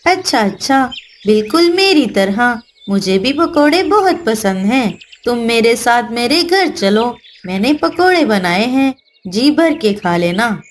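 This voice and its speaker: A woman's voice: on the high side, very animated, unhurried. A woman voicing a scheming, falsely sweet female crow in a children's cartoon, with a coaxing manner. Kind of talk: Hindi children's cartoon voice acting.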